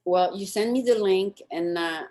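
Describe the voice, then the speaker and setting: A woman's voice: medium pitch, measured pace, a little mellow. A woman hosting a show, chatting casually with her guest in an informal interview. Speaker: show host. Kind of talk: casual interview chat, wrapping up.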